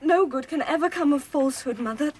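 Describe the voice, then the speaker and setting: A woman's voice: high voice, fairly quick, a little mellow, slight background noise, natural voice. A woman playing an honest, resolute daughter in a radio drama, firm and earnest.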